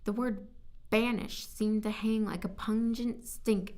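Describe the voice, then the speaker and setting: Woman narrating a story, halting retakes. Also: mid-range voice, little pitch movement, warm tone. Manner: halting, stumbling over words and repeating takes. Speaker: voice actor recording as narrator.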